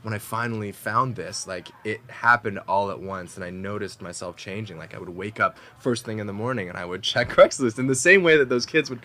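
Low-voiced man telling lively stories. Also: brisk, hardly any pauses. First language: Mandarin. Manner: lively storytelling, many likes and you-knows. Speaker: actor guest. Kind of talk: casual interview chat.

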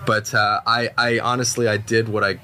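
A man's voice: fairly low pitch, flat delivery, moderate pace, pushed and bright. A man who grew up speaking Mandarin, a guest talking casually in an interview, rambling with lots of fillers and restarts. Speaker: guest, actor.

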